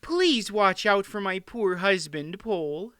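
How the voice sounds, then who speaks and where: A man plays a plump little storybook queen, her voice pitched high and bright. She is fretful and fond, pleading with a quiver as she fusses over those she loves. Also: measured pace, clear, resonant tone, no echo, clean studio sound. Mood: quivering concern. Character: plump, tearful, fussing little queen, affectionate wife.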